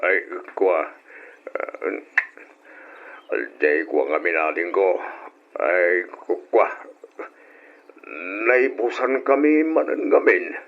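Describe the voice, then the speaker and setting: A man's voice: low-pitched, very slow, pauses often, narrow, tinny audio, exaggerated voice. A man acting in an old radio drama as a shameless, argumentative, wheedling husband who feels owed by his relatives. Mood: sheepish, wheedling.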